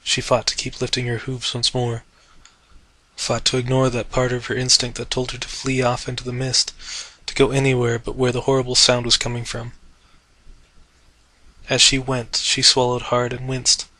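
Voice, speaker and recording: A man narrates fiction in a dramatic, theatrical style. His voice is mid-pitched, bright and tense, delivered with intensity and captured very dry and close. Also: monotone, normal speed, no echo, low-quality recording. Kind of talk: dramatic scripted story narration.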